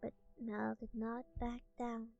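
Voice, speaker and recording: A woman giving a soft-spoken ASMR reading of a story in a gentle, deliberate manner. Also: fairly high pitch, little pitch movement, creaky, lo-fi sound, faint music behind. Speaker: streamer reading a visual novel aloud.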